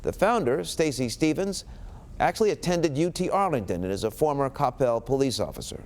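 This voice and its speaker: Male American news anchor, lively pitch swings. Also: fairly quick. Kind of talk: scripted local TV news reading.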